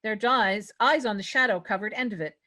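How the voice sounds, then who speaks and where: A woman's voice: mid-range voice, brisk, pushed and bright. A woman reading stage directions as the narrator at a script table read.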